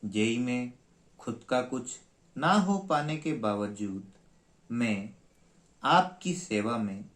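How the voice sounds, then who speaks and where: A man playing a long-winded helpline agent in a comic skit, formal and polite, with drawn-out pauses, in an echoing room. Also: mid-range voice, animated, fairly bright tone. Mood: ceremonious, polite. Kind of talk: comic stage skit acting. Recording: roomy, echoing sound.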